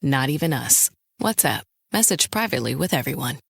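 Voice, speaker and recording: A woman's voice: a bass voice, animated, fairly quick, gravelly. A woman reading a scripted advertisement as a voice-over. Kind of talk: scripted commercial narration.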